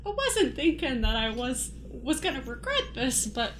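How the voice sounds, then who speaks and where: A woman's voice: high voice, sing-song, normal speed. A woman playing a meek, naive, suffering male test subject, plaintive and hesitant, in an improvised comic radio play.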